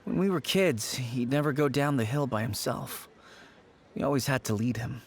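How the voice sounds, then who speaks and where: A man's voice: mid-range voice, lively intonation, normal speed, a little hiss or hum, lightly acted. A man voicing an earnest boy who speaks fondly of a childhood friend, in a video game. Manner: earnest, fond.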